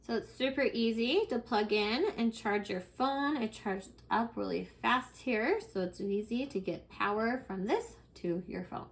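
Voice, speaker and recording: Female product reviewer, somewhat high voice, casual hands-on demo delivery, plain step-by-step explanations. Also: unhurried, few pauses.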